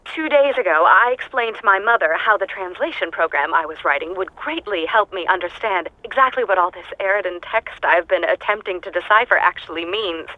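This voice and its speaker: A woman's voice: medium pitch, brisk, few pauses, no echo, natural voice. An actress voicing a lonely, unhinged woman scientist who is recording audio logs alone on a hostile planet. She speaks in a dry, clipped, detached way that drifts into manic glee. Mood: rambling. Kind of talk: game audio log monologue. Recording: dull lo-fi game audio log.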